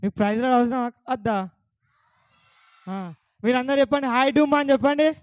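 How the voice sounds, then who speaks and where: A man's voice: very high voice, fairly even intonation, moderate pace, smooth, dry recording, muffled recording. A man with a Telangana Telugu accent hosting a puppet show, coaxing a child audience with questions. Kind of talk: lively talk to a child audience.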